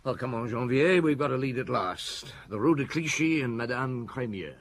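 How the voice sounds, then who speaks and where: A musing detective chief, lively intonation. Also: male voice, mid-range voice, normal speed, dry recording. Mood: probing. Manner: hesitant, musing, probing questions. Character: gruff, patient, thoughtful middle-aged male police chief detective. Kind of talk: radio drama acting.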